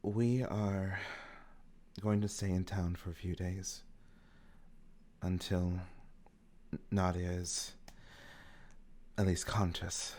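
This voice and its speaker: A man voicing a composed, courteous woman who leads a witch coven in a tabletop game, formal and measured. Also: low-pitched, little pitch movement, darkish tone.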